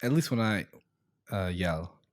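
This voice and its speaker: A man's voice: low-pitched, expressive, unhurried, no room sound, clean studio sound. A young man from the Middle East with an American accent, hosting a casual podcast chat and laughing often as he trails off mid-sentence. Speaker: podcast host.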